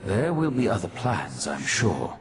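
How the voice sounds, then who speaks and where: A very deep voice of an ancient godlike being, serene and grand, ringing in a long reverb. The delivery is measured, lofty and formal, as in a fantasy game. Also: male voice, sing-song. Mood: solemn reassurance. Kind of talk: fantasy video game dialogue.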